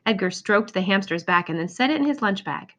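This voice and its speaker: A woman reading a children's picture book aloud in an expressive storytelling manner. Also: mid-range voice, fairly quick. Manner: expressive, acts out exclamations and dialogue. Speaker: storybook reader.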